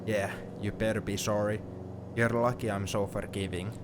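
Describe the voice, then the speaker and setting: A man's voice: on the low side, moderate pace, natural voice. A man playing a sentimental, teasing young friend heading to university, in an intimate one-sided ASMR roleplay. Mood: mock offended, teasing. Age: young adult. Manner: reflective, playfully defensive. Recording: steady car noise behind the voice.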